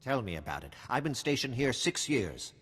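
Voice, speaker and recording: A man's voice: medium pitch, big pitch swings, moderate pace, a bit bright, slightly rough, in a large hall. A man voicing a weary, wry intelligence officer in a video game, briefing in a matter-of-fact, conspiratorial way. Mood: grave, urgent.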